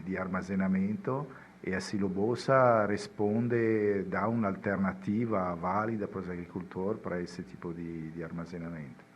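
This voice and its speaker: A man's voice: low-pitched, slight background noise. A man with an Italian accent, a native Italian speaker, answering as a podcast guest and often repeating words as he searches for them. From Italy. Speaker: guest chemist. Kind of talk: podcast interview.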